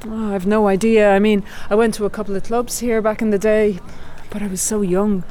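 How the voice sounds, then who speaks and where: A woman's voice: mid-range voice, level tone, fairly quick, dark tone, close to an everyday voice. An actress playing a reserved, determined middle-aged Irish woman in a naturalistic radio drama, speaking in a dry, guarded way. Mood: dry, wry. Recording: faint background ambience. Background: faint ambient noise.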